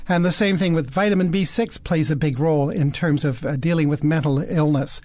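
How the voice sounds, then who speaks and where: A man co-hosting a radio health show, talking opinionatedly with many fillers and pauses. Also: fairly high pitch, darkish tone, no room sound. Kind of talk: casual radio talk. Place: radio studio.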